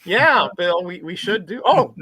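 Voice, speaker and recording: Lively, good-humored man explaining. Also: high-pitched, unhurried, a crowd in the background. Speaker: panel guest. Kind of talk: casual panel chat.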